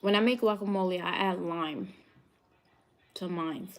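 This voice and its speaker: A woman's voice: lowish voice, unhurried. A woman from New York hosting a live cooking stream, chatting casually with viewers and laughing often. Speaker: cooking show host.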